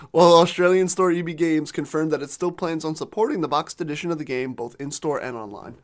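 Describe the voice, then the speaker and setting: Casting: male podcast co-host, a bit hoarse, slightly higher voice, reads news stories in a relaxed tone. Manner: clears throat, reads quotes in runs. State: losing his voice. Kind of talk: casual chat, reading news aloud.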